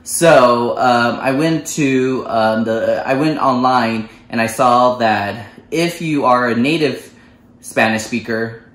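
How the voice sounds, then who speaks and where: A man in his thirties from the United States, telling a personal story to camera with many fillers and restarts. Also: medium pitch. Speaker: vlogger. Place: small living room, indoors. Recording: echoey room.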